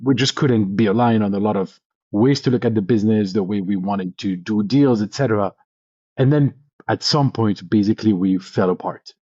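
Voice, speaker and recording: A man's voice: fairly low pitch, moderate pace, dark tone, no room sound, dull audio. A man in his thirties from France, talking casually and enthusiastically as an interview guest, telling personal stories in an informal way. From France (Paris). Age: 30s. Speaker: podcast guest, venture capitalist. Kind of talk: casual conversational storytelling.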